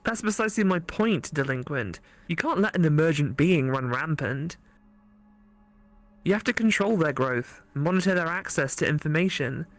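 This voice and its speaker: A woman's voice: low-pitched, lively intonation, normal speed. A woman voicing a stern, cautious know-it-all colleague in a visual novel, lecturing and insistent.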